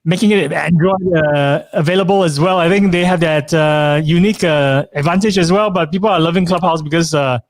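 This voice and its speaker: A man's voice: a little high, brisk, few pauses, no room sound. A man hosting a casual interview chat, talking in a chatty way with many fillers and asking questions. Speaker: event host.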